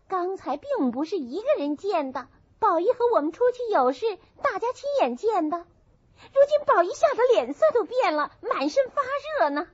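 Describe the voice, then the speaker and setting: A woman voicing a bossy, sharp-tongued young maidservant in an audiobook, scolding indignantly, in a muffled recording. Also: extremely high pitch, sing-song, moderate pace, pitched above the performer's own voice. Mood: indignant, urgent.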